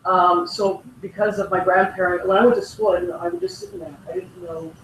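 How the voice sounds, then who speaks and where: A man's voice: high voice, big pitch swings, fairly quick, creaky, fairly bright tone. A man from Canada whose first language is Greek, chatting in a meeting with lots of likes and restarts. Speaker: meeting participant. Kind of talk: casual meeting discussion. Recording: roomy, distant mic with faint noise.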